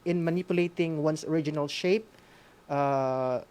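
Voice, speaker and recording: A man with a Visayan Filipino accent moderating an educational radio session off the cuff, halting and often tagging phrases with "no?". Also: fairly high pitch, a little mellow, clear, resonant tone. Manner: halting, often adds a tag "no?".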